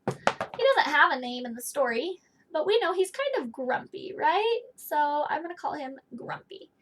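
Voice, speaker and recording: A woman's voice: high-pitched, animated, normal speed, a bit bright, a little hiss or hum. A woman in her thirties giving a children's read-aloud lesson, explaining things in simple phrases for young listeners. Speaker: teacher reading aloud. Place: small indoor office or study.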